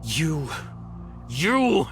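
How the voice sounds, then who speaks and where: A man's voice: a little high, very animated, extremely slow, exaggerated voice. A man voicing a cowardly, defensive thief in a video game, agitated and easily panicked. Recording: music behind voice.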